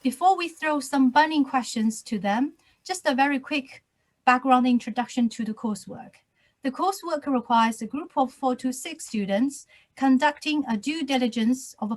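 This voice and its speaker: A woman finance lecturer hosting an interview, speaking in a slow, measured and formal way over a video call. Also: sits high. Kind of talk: scripted introduction and interview questions.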